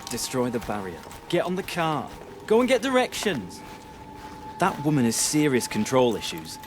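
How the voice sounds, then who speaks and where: A man's voice: mid-range voice, wildly swooping pitch, moderate pace. A man voicing a sarcastic young hero in a game, with a wry, offhand manner. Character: sarcastic young male hero driving a cart. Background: music.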